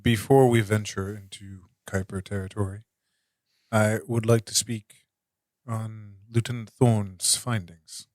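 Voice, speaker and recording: A man playing a calm military intelligence officer in a tabletop game, speaking formally in halting, measured phrases. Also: on the low side, dry recording, lightly acted. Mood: calm, deliberate. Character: calm, deliberate male military intelligence officer, quietly insistent.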